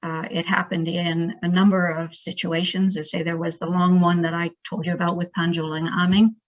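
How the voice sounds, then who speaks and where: A woman researcher answering academic questions informally, haltingly thinking aloud and repeating words as she goes. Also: fairly low pitch, flat delivery. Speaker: primate researcher, guest speaker. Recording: dull lo-fi sound.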